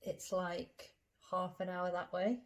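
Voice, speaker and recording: A woman with a slightly low voice plays a guest in a comedy sketch. She answers each awkward question in a patient, plain, matter-of-fact way. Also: flat delivery, slow. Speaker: puppeteer acting a dinner guest.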